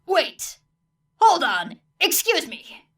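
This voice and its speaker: A woman's voice: extremely high pitch, big pitch swings, drawn-out words, vocal fry, fairly bright tone, far from the mic, voice pushed up, exaggerated voice. A woman voicing a weary, cautious male teacher in an audiobook story, exasperated and long-suffering. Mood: alarmed.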